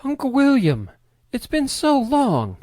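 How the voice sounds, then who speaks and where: A man's voice: a little high, animated, moderate pace, mellow, dry recording, close to an everyday voice. A man voicing an eager young woman with a lilting way of speaking. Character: young woman, cheerful niece.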